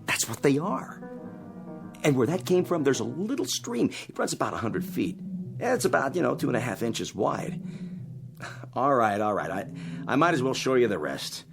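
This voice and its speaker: A man's voice: mid-range voice, very animated, normal speed, creaky, over music. An actor playing a cocky, teasing male space explorer in a radio drama, boastfully and eagerly showing off his secret find.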